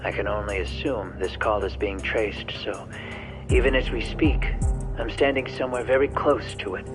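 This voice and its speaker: An actor playing a resigned fugitive in a game drama, speaking in a measured, earnest, deliberate way. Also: male voice, lowish voice, steady tone, over music, natural voice. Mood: somber, resolute. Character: resigned, soft-spoken fugitive man confronting a woman with guilt. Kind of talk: dramatic video game cutscene acting.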